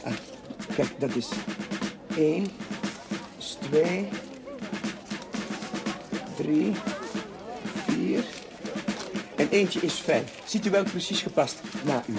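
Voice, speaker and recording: An actor playing a young village priest in a television period drama, slyly persistent, with hesitant, pointedly polite speech. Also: male voice, a little high, animated, slow, long pauses. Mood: teasing politeness. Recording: 1970s TV soundtrack with loud music.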